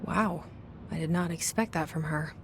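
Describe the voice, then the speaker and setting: A woman's voice: sits low, normal speed, noisy background. An actress playing a guarded, determined young woman in a video game, with naturalistic delivery. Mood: dry, reserved. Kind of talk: naturalistic game acting with inner monologue.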